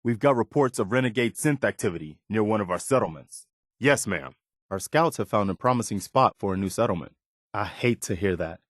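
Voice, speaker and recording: Loyal game companion soldier, principled, earnest, plain-spoken, fairly low pitch, very dry studio sound. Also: male voice, lively intonation, fairly quick, no echo. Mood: determined. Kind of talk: video game companion voice lines.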